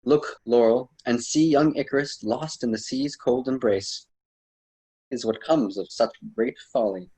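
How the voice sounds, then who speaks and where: A man playing a wise, admonishing storyteller mentor in an amateur radio drama, formal and slightly husky. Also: mid-range voice, a little slow, dark tone, poor audio. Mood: grave. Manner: formal, solemn, didactic.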